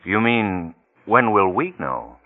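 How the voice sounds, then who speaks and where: An actor playing a suspicious, bitterly wry husband in 1940s radio mystery style, speaking in a dry, pointed way. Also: male voice, lowish voice, very animated, slow pace, creaky, narrow, tinny audio, full character voice. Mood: dry, composed. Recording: very noisy vintage 1940s radio recording.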